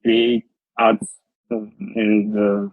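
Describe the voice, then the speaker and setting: A man, answering interview questions slowly and carefully, with many fillers and restarts. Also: lowish voice, completely flat delivery, no echo. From Russia. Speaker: guest, data scientist. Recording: tinny phone-like line.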